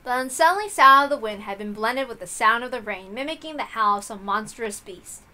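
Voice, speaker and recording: Bright, high-voiced woman narrating a story. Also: lively intonation, a little fast. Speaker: reader of a visual novel.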